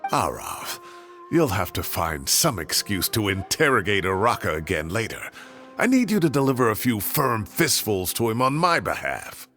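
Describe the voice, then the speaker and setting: An actor playing a proud, fussy older retired professor in a video game, gravelly and blustery, with theatrical delivery. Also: male voice, fairly low pitch, normal speed, faint music behind. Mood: grumbling. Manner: blustery, expressive.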